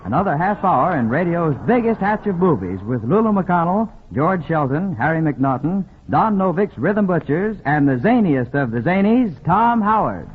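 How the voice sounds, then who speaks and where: A male announcer from 1940s radio comes through a thin, tinny broadcast with faint noise. His pitch sits a little high and swings widely as he bills the show. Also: very animated, moderate pace, few pauses. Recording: tinny, band-limited old radio broadcast.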